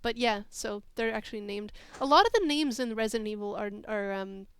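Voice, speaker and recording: A woman's voice: a little high, expressive, fairly quick, a bit bright, clear, resonant tone. A young Swedish-born woman with an American accent, chatting casually while streaming a game. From Sweden. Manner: chatty, laughing, explaining trivia. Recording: music playing behind.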